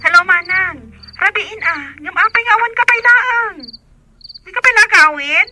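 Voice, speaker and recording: A woman's voice: very high-pitched, normal speed, over music. A woman acting as a fretful, nagging younger sister in an audio drama, with an Ilocano accent. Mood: nagging, teasing. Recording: very noisy, clicks, crickets and frogs.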